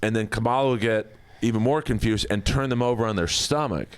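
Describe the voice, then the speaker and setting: A man's voice: on the low side, smooth. Rambling man spinning comedic old stories. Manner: rambling, halting storyteller. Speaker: podcast guest telling old wrestling stories. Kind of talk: comedic anecdote telling, casual podcast banter.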